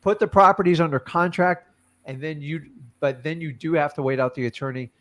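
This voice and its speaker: A man's voice: on the high side, a little fast, a bit bright. Casual blunt man coaching on a call. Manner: blunt, casual, advice-giving. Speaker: host and wholesaling coach.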